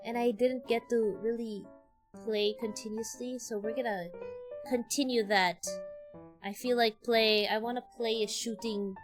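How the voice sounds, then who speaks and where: A woman's voice: on the high side, steady tone, a little slow. A woman with a Filipino accent, a game streamer chatting casually in a rambling way that often trails off, with game music behind her. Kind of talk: casual livestream chat.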